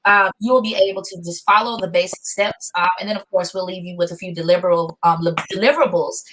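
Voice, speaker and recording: A woman's voice: fairly low pitch, fairly even intonation, quick pace, a bit bright. Woman moderating over noisy audio. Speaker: workshop moderator. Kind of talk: informal workshop hosting. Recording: clear background noise with clicks.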